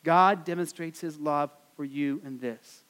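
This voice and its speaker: A man's voice: a little high, unhurried, fairly bright tone, clear, resonant tone, big-room sound. An American man preaching a sermon as pastor, earnest and repetitive, with many fillers and restarts. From United States.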